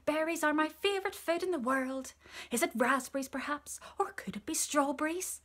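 Woman as a high, singsong, cheery little bird. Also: normal speed, a bit bright. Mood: innocent curiosity. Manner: singsong, chirpy. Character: roly-poly male bird, cheery, innocent, naive.

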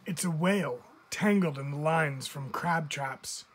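A man reading a children's picture book aloud like a teacher, with expressive emphasis. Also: on the high side, measured pace, husky. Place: indoors, book filmed from above.

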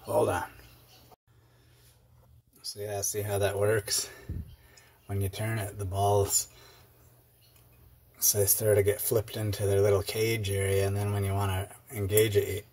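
A man's voice: on the low side, level tone, a little slow, pauses often, a little mellow. A middle-aged man casually narrating a workshop how-to in his garage, rambling and chatty with lots of fillers, his hands busy on the repair. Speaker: garage video host. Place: cluttered home workshop garage.

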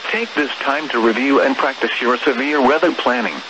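A man delivers a scripted weather alert in a formal, measured way. His impersonal announcer voice sits at a medium pitch and comes over a radio with clear noise on the recording. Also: lo-fi sound. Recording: noisy weather radio broadcast.

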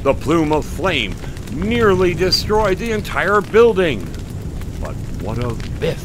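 An actor playing a dramatic cliffhanger narrator of an adventure serial. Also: male voice, on the high side, sing-song, slow, background noise. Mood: suspenseful.

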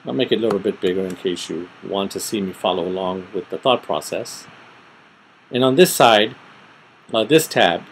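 A middle-aged man giving an informal, step-by-step screen tutorial, thinking aloud methodically with pauses. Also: deep voice, a little hiss or hum, poor audio. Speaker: tutorial presenter. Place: small room at home, indoors.

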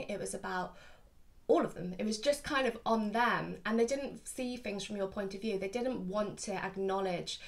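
A woman in her thirties gives a casual, chatty tarot reading to camera at home, slightly sniffly with hay fever. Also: fairly high pitch. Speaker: tarot reader, host. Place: small furnished room at home, indoors.

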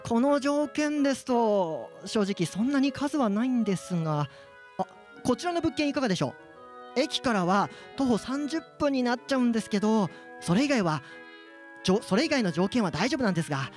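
A man playing a polite, cheeky real estate agent who jokes deadpan in a light comic radio drama. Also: very high-pitched, a little fast, soft background music.

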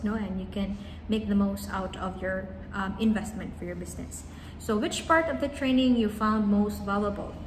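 Young Filipino woman, coffee trainer, casual to camera; roomy cafe with long echo and steady noise behind. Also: medium pitch. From Philippines. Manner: chatty, adds tag "no?" often. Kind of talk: casual vlog talk, reading feedback aloud. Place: large cafe, indoors. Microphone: camera close in front.

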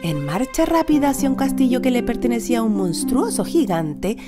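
A woman reads a scripted fairy tale for children. Her voice sits on the high side and swings expressively through the story. Music plays clearly underneath. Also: sing-song, brisk.

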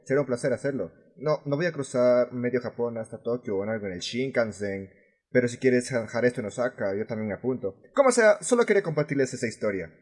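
A man's voice: mid-range voice, expressive, fast, close to an everyday voice. A male voice actor plays a furious expat vlogger with a Latin American Spanish accent and a mid-range male voice. He is confrontational and sarcastic, swearing freely and leaning hard on his words in a fan-dubbed rant. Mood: sardonic, irritated. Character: furious, foul-mouthed male expat vlogger ranting about rude tourists.

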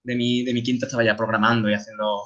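A man in his thirties with an Andalusian Spanish accent, giving a relaxed, chatty talk over slides, with frequent restarts and fillers. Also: mid-range voice, fast talker, highs cut off. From Seville, Spain. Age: 30s. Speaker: guest speaker, game artist.

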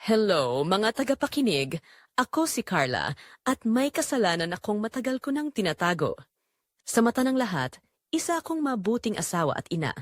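A woman's voice: medium pitch, very animated, moderate pace, no room sound, clean studio sound. A woman playing a guilt-ridden married mother confessing a secret affair, narrating in the first person in an emotive, confessional manner. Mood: confessional, wistful.

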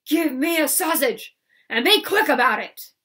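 A woman voicing a huge drunken bully of a man who roars his demands slowly. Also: sits high, lively intonation, pushed and bright, voice pushed up, heavily acted. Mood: bellowing. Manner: bellowing, slow and menacing. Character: drunken bullying strongman, male, huge and loud.

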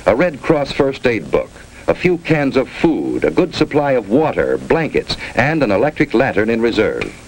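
A man's voice: medium pitch, sing-song, normal speed, hardly any pauses. A man with an American accent narrating a 1950s instructional film in clipped, authoritative instructions. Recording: noisy old 1950s film soundtrack.

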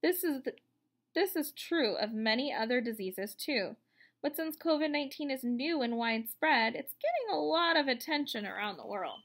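Bright, high-voiced woman reading to children. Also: normal speed. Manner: expressive, explanatory, speaking simply to children. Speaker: teacher reading a children's book aloud. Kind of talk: read-aloud for children. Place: indoors, room at home.

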